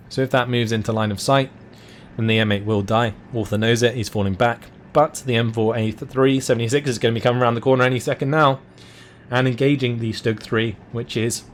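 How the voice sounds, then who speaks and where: A man commentating a strategy game match live, his voice hoarse and going as he talks. He calls the action in quick, excitable bursts and breaks into laughter at wild moments. His pitch sits in an ordinary middle range for a man. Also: slight background noise. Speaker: game caster.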